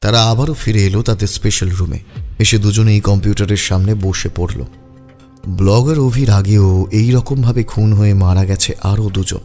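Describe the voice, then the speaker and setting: Low, very mellow male story narrator. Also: moderate pace. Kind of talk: scripted audio story narration. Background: music.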